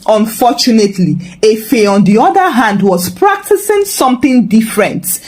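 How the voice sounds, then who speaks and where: A woman with a Nigerian accent narrating a scripted folktale in an expressive, humorously dramatic way. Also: on the high side, moderate pace. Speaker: storyteller, narrator.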